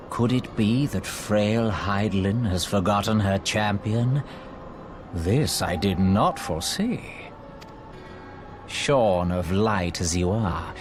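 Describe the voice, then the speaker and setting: An actor playing an arrogant, sinister sorcerer villain in a video game, with pompous, theatrical, archaic diction. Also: male voice, on the low side. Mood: gloating. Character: arrogant, sinister male dark sorcerer villain, gloating and condescending.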